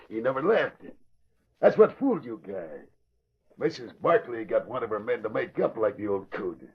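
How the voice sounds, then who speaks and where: Gravelly, slangy gangster gunman. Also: medium pitch, animated, measured pace, long pauses, exaggerated voice. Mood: gloating. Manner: gruff slangy gangster talk. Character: tough gunman thug, menacing, sneering, slangy. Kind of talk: 1940s radio acting. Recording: dull lo-fi old radio recording.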